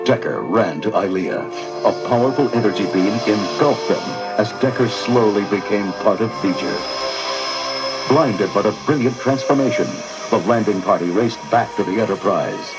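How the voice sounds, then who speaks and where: Male storybook narrator: low, highly inflected, dramatic read over loud music on a dull vintage record. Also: expressive, normal speed.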